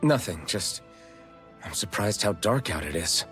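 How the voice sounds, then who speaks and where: A man's voice: low voice, expressive, a little slow, a bit bright. A man playing an earnest, questioning young rebel hero in a video game cutscene. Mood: puzzled. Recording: music behind.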